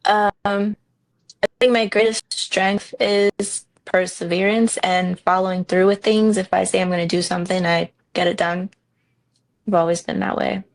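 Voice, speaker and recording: A woman in her thirties gives interview answers in a frank, easygoing way, often pausing on "um". Her voice has a gravelly fry to it. The room sounds dry and close. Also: medium pitch, no echo, low-quality recording. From New Jersey. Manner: frank, relaxed, many ums. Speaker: real estate broker, guest.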